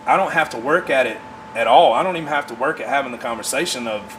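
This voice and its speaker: A man in his forties talking casually as a podcast guest, in an earnest, halting way as he thinks aloud. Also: mid-range voice, fairly bright tone, slight background noise, low-quality recording. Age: 40s. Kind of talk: casual conversation.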